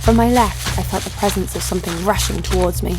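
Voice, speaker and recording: A woman's voice: medium pitch, steady tone, quick pace, over music, lightly acted, rough recording. A woman playing a hot-tempered young female fighter in an audio drama, blunt and sarcastic. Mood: wry, storytelling. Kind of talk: first-person audio drama narration and dialogue.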